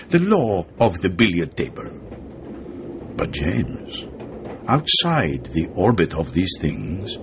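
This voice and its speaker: A worldly, philosophical spy ally in a radio drama, with a low voice that swings widely and lands points emphatically. The sound is muffled and clearly noisy. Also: male voice. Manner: expansive, deliberate, emphatic.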